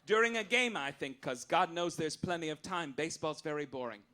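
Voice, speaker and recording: A man's voice: a little high, expressive, a little fast, bright, forceful tone. A man performing satirical stand-up on stage as a show host, with a campy, arch, theatrical delivery, reading mock-official announcements. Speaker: comedian hosting a live show. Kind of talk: satirical stand-up monologue read as mock-official rulings to a live audience. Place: on stage at a live cabaret venue.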